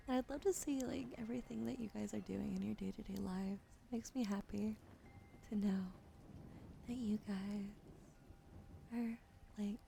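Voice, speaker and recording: A woman hosting an ASMR livestream, chatting casually to viewers in a gentle, soft-spoken way that often drops into breathy whispers, close on a sensitive microphone. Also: mid-range voice, a little hiss or hum.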